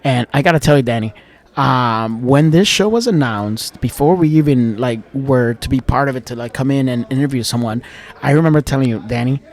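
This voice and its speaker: A man's voice: mid-range voice, brisk. A man co-hosting a podcast, chatty and joking, telling stories with frequent laughs. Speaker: podcast co-host. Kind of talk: casual podcast chat. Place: room in a club, after a show.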